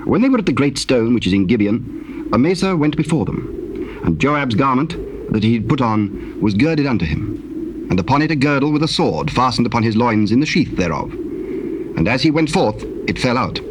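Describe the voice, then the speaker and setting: A male narrator reads scripture as solemn, measured storytelling. His voice sits at a middle pitch, and the recording has clear background noise. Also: big pitch swings. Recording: noisy cassette tape recording.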